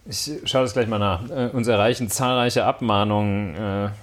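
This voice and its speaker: A man co-hosting a podcast, a lawyer by profession, talking with dry, deadpan humor. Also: fairly low pitch, moderate pace. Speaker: defense lawyer, co-host. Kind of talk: casual podcast chat. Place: indoor room with the host.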